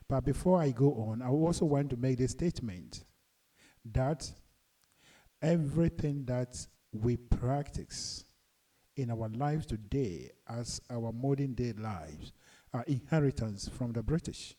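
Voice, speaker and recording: Man, Ghanaian accent, middle-aged, deliberate emphatic lecture, very dark mellow tone, somewhat distant mic. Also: medium pitch. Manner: earnest, deliberate, emphatic, rising on key points. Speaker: channel host and commentator. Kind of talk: lecturing monologue.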